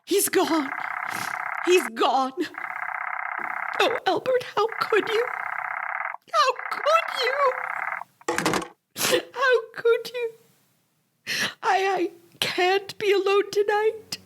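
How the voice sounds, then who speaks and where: A hysterical rich invalid wife in a radio thriller, really high voice, bossy and fretful. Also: female voice, animated, drawn-out words, long pauses, heavy background noise, heavily acted. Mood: panicked, despairing. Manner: demanding, fretful, melodramatic. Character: wealthy, imperious, hysterical invalid wife, alone and terrified. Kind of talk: suspense radio play acting.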